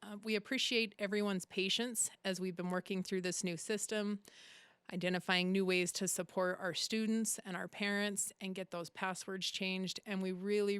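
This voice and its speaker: A woman's voice: mid-range voice, fairly bright tone, smooth, no room sound. Female tech official delivering a prepared public update. Clean, resonant tone with very little room sound. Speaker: school district chief information officer.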